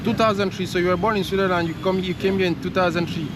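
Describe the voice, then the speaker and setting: A man's voice: high voice, fairly even intonation, racing. A man hosting a casual vlog interview, rephrasing his questions as he goes. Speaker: host and interviewer. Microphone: handheld camera held by him. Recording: loud outdoor traffic noise.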